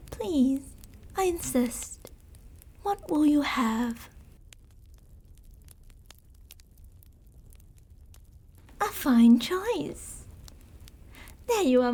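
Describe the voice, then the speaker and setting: A woman's voice: high-pitched, a little mellow, full character voice. A woman playing a sadistic, possessive vampire noblewoman in an ASMR roleplay, teasing and archly courteous, at times slipping toward a whisper. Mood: mock-hurt, playful. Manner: slow, teasing, mock-courteous, archly formal, drifting into whispers. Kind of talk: ASMR roleplay, intimate scripted monologue.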